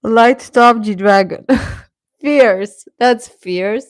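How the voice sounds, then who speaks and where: Female livestream host: casual, playful, unhurried delivery, mid pitch, dry sound. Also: no room sound. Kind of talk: casual chat with live viewers.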